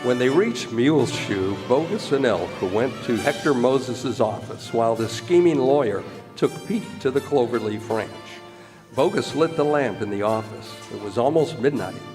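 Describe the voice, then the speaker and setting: Male narrator for a western radio play, medium pitch, storytelling over clear musical underscore. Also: expressive, moderate pace, music in the background. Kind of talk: scripted narration of old-time western radio drama.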